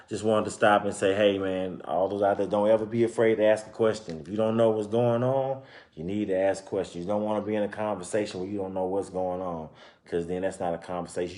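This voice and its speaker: A man's voice: on the low side, a little mellow. Man reading aloud with casual asides. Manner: conversational, explains and repeats points informally. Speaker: storyteller reading a children's book aloud.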